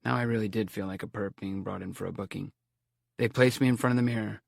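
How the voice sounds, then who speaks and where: Male narrator, low gravelly voice, dry close recording. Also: fairly even intonation, fast talker, no room sound. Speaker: audiobook narrator. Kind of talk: audiobook narration.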